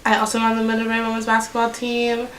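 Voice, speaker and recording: A woman's voice: fairly high pitch, completely flat delivery, rapid-fire, fairly bright tone, slight background noise. A young woman from Massachusetts, a college basketball player, speaking earnestly in an interview. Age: young adult. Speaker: student athlete. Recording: echoey room from a distance.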